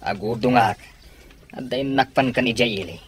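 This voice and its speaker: A man acting a gruff, suspicious, hard-drinking father who scolds his children in an Ilocano radio comedy drama. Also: medium pitch, moderate pace, a crowd in the background, close to an everyday voice. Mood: scolding.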